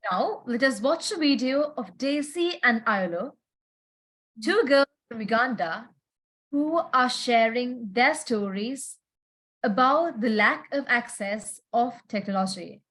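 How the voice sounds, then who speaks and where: A woman moderating a panel, reading scripted introductions in a formal, measured way. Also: on the high side, expressive, a bit bright, poor audio.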